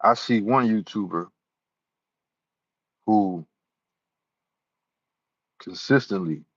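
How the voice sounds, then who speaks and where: A man's voice: on the low side, very slow, dry recording. A man talking casually to his viewers, rambling and repeating himself with plenty of "you know"s. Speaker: YouTuber. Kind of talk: casual talk to camera. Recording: dull lo-fi sound.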